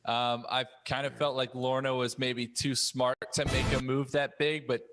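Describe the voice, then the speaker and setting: A man with a medium-pitched voice leads a panel discussion as host. He speaks in a measured, articulate manner, laying out his points and questions. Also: flat delivery, fairly bright tone, faint music behind. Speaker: podcast host.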